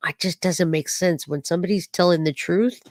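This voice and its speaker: A woman's voice: lowish voice, brisk, darkish tone, no echo. A woman talks to her live chat about a clip, probing and skeptical, full of rhetorical questions. Her voice is slightly off from dust and allergies. The sound is dry and close, with no room around it. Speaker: true-crime streamer. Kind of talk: live-stream commentary to chat.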